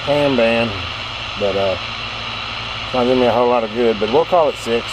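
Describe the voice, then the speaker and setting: A man of average pitch talks through a hands-on test in short, matter-of-fact remarks. He sounds casual and counts as he goes. Loud radio static runs behind him. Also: normal speed. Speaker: radio reviewer. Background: radio static and faint broadcast voices.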